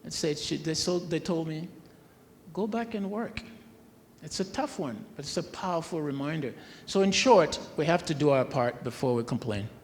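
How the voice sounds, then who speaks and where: A man's voice: fairly high pitch, expressive, moderate pace, slight background noise. A man on a conference panel telling a personal anecdote, on a stage microphone in a conference hall. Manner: storytelling, quotes others. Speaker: conference speaker. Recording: faint ambience, long hall reverb.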